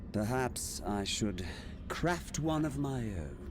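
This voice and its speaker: An actor playing an imperious, sly schemer in a video game, with a musing, theatrical drawl. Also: male voice, medium pitch, noisy background. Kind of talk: video game character acting. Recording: music behind the voice.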